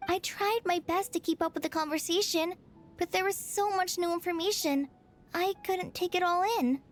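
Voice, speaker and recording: A woman's voice: very high-pitched, fairly even intonation, moderate pace, faint music behind. A woman voicing a shy, earnest young apprentice girl in an anime game, hesitant and polite. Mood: unsure, downcast.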